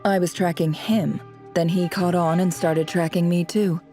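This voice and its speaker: An actress playing a shrewd, composed woman in a video game, telling things in a measured, wry way. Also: on the low side, fairly even intonation, mellow, soft background music, close to an everyday voice. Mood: matter-of-fact. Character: shrewd, composed adult woman recounting her past.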